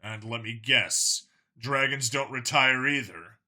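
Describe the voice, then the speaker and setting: Audiobook role: weary human lawman, voiced by a man, skeptical, plain-spoken, dry wit, lower register. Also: takes it slowly, sharp tone. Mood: wry, curious. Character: weary, blunt human male cop, skeptical and questioning. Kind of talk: audiobook character dialogue.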